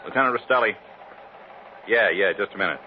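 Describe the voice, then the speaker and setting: A man's voice: lowish voice, brisk, natural voice. A man playing a brusque, impatient police detective lieutenant in a 1950s radio drama. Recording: dull, noisy vintage radio recording.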